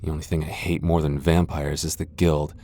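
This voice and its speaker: A very deep-voiced, centuries-old male vampire lord, acted out by a man for a fantasy audio roleplay. He speaks with haughty, sardonic disdain. His delivery is theatrical and taunting, that of a proud immortal who looks down on his listener. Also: level tone, a little fast, dark tone. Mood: disdainful, sardonic. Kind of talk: ASMR fantasy roleplay acting.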